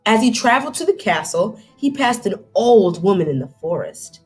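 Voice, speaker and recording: A woman's voice: mid-range voice, big pitch swings, moderate pace, darkish tone, breathy edge, faint music behind. A woman reading aloud to children like a teacher, dramatic and inquisitive, stopping to put questions to young listeners.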